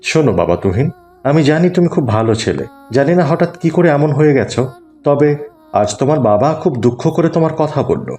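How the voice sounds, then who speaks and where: A man's voice: on the high side, animated, quick pace, mellow, soft background music, lightly acted. A man playing a kindly, pious older neighbour who gives patient, earnest advice in an Islamic cartoon. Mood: earnest admonishing.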